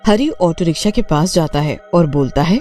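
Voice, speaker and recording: A man's voice: fairly high pitch, expressive, moderate pace, mellow, faint music behind. A man reading scripted narration for a cartoon story.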